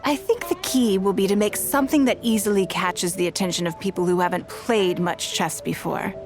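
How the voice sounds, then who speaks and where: A woman voicing an elegant, shrewd and courteous businesswoman in scripted video game dialogue, speaking in a poised, measured and articulate way. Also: mid-range voice, lightly acted. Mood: thoughtful, proud. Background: background music.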